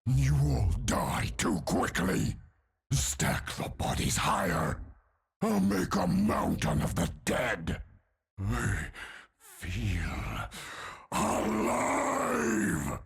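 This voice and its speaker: An actor playing a hulking undead warrior in a video game, raging and bloodthirsty in a raspy growl, with slow menacing declarations that burst into roars. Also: male voice, mid-range voice, wildly swooping pitch, full character voice. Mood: roaring bloodlust. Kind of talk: video game character voice lines.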